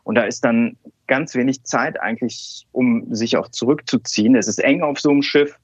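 A man's voice: mid-range voice, moderate pace, breathy edge, no echo, muffled recording. A man from Cologne, a scientist, telling stories in an interview with many fillers and restarts. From Cologne, Germany. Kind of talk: relaxed conversational interview.

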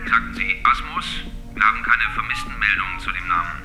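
A man's voice: on the low side, quick pace, music in the background, natural voice. An actor playing a formal, indifferent police switchboard operator in a German radio drama, speaking in a routine way.

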